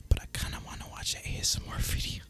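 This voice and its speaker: Man whispering breathy, casual ASMR chat. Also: fast. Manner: relaxed, rambling, casual and reflective. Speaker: video creator and gamer.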